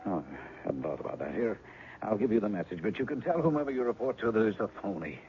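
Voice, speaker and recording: An actor playing a rueful, blocked novelist with a wry, self-mocking manner. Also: male voice, lowish voice, expressive, quick pace, natural voice. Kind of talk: 1970s radio drama acting. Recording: narrow 1970s radio broadcast, faint music.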